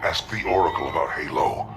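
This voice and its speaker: An actor playing a bitter, impassioned alien rebel leader in a video game, intense and declamatory. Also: male voice, extremely low pitch, a little fast. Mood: bitter urgency. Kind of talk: dramatic video game acting. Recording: echoing, with music behind.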